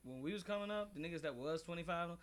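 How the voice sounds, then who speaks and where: A man's voice: a little high, quick pace. A man in his late twenties chatting casually on a podcast, teasing and joking in slangy, profane speech. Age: late 20s.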